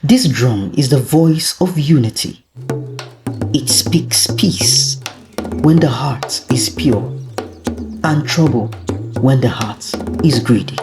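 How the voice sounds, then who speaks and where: Narrator acting a slow, solemn, wise king. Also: mid-range voice, lively intonation, music in the background. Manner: stately, measured. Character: wise, solemn king addressing his people. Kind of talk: folktale storytelling character voice.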